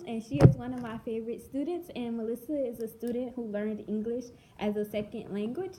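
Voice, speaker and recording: A woman's voice: on the high side, background noise. A young adult woman, a teacher, interviewing her student and prompting her with follow-up questions. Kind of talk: casual interview.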